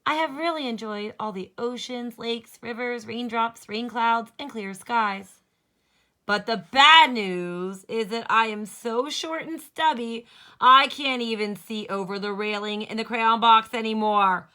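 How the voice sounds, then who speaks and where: A woman voicing a short, stubby, worn-out storybook crayon, complaining with drawn-out emphasis. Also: a little high, pushed and bright. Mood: weary, complaining.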